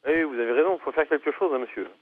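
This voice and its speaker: A man answering the phone as an animal pound operator, brisk and helpful. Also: on the high side, no echo. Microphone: telephone. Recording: thin narrowband telephone line.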